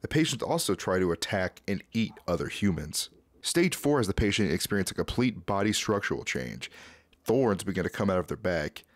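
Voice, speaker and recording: A man's voice: sits low, big pitch swings, a little fast, no room sound. A man in his twenties narrating a scripted video recap in a casual, conversational storytelling way, close to a desk microphone. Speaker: YouTube host. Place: small dim home room, indoors.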